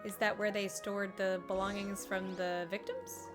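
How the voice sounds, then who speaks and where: A woman's voice: mid-range voice, flat delivery, moderate pace, over music. A woman game streamer giving casual live commentary, playful and musing aloud as she plays.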